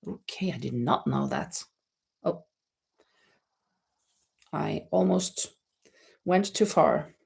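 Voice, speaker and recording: A woman's voice: low voice, big pitch swings, slow pace, vocal fry, pauses often, darkish tone, husky. A middle-aged woman hosting a casual live crochet chat, laughing often and reading viewer comments aloud. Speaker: livestream host. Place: home in Spain.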